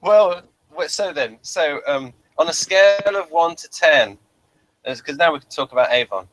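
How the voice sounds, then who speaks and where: A man co-hosting a casual chat, asking questions in a halting way and repeating his opening words. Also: a little high, expressive, a bit bright, slight background noise, poor audio. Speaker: podcast co-host asking questions.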